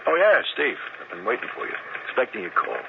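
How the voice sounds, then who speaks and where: An actor playing a brisk police sergeant. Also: male voice, medium pitch, very animated, heavy background noise, lightly acted. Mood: businesslike. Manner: brisk, businesslike. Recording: tinny old radio broadcast.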